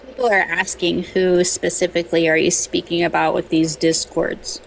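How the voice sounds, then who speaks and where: A gravelly, fairly low-pitched woman's voice, put on by a woman as a sidekick relaying chat questions. She keeps her delivery flat and low-key. Faint hiss sits under the voice. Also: normal speed, rough recording.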